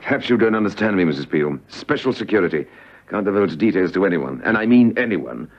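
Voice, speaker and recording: An actor playing a smooth, assured, guarded man of intrigue in a classic spy radio drama. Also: low-pitched, lively intonation, a little fast. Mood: guarded, officious. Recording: dull vintage radio recording, faint noise.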